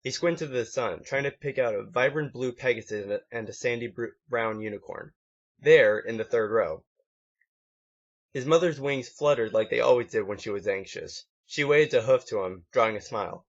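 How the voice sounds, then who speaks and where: A man reading a story aloud as an amateur, in one take, now and then stumbling and correcting himself. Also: on the low side. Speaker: amateur fan fiction reader. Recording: dull lo-fi home recording.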